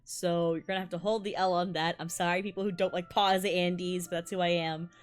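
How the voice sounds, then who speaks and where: A young adult woman whose first languages are Malayalam and Tamil, a violinist giving a bubbly, excitable casual breakdown of music and laughing often. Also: lowish voice, a little fast, clean tone. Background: faint music playing.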